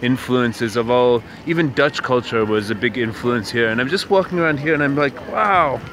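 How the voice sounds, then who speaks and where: A man's voice: medium pitch, normal speed, fairly bright tone. Rambling man vlogging on a noisy street. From Canada. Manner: rambling, musing, many fillers and restarts. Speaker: vlog host. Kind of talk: casual walking vlog monologue. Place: outdoors on city streets. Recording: outdoor street noise.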